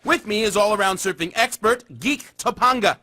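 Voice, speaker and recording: A man voicing an excitable penguin surf reporter in a video game, delivering dramatic sports news like a surfer dude. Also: high-pitched, normal speed. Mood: urgent reporting. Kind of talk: TV sports news broadcast parody. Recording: loud music behind voice.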